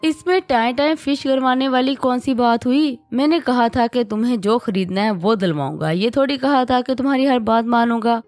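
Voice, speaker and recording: A woman's voice: mid-range voice, lively intonation, normal speed, no echo, faint music behind, close to an everyday voice. Woman reading as a teasing young husband. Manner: bantering, teasing.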